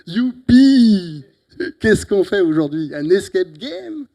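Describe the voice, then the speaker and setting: A middle-aged man from Italy with an Italian accent, giving a lively, teasing closing talk at a conference on a handheld mic, with sudden loud emphatic bursts. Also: high voice, drawn-out words, vocal fry. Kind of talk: lively, joking conference speech. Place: conference hall. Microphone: handheld microphone.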